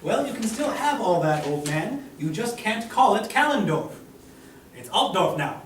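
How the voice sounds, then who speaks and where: Man doing a sneering high-voiced guard. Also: big pitch swings, a little slow. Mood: taunting. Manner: sneering, taunting. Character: smug, taunting male town guard. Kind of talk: table read acting. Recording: roomy room, distant mic, faint hiss.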